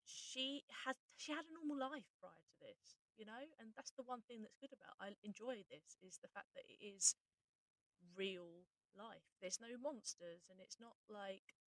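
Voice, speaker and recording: A woman chatting informally about audio drama as its writer and voice actor, explaining things in a casual, animated way. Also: mid-range voice, normal speed, dry recording, rough recording. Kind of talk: casual behind-the-scenes chat.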